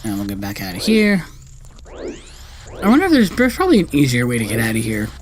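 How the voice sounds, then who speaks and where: A man's voice: mid-range voice, huge pitch swings, fast talker, slight background noise. A man giving casual let's-play commentary as the host, rambling loosely in slangy speech and correcting himself as he goes. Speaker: gaming video host. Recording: game music behind the voice. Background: video game music.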